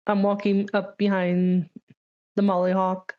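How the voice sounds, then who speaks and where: A woman's voice: medium pitch, completely flat delivery, measured pace. A woman chatting casually as a player in a tabletop game, sometimes speaking as her character, on a slightly lo-fi mic.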